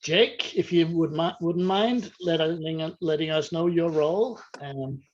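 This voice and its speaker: A man hosts a webinar with a slightly raised voice, asking measured questions. His audio sounds dull and lo-fi, as over a call. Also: a little high. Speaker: webinar host. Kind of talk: hosting a webinar.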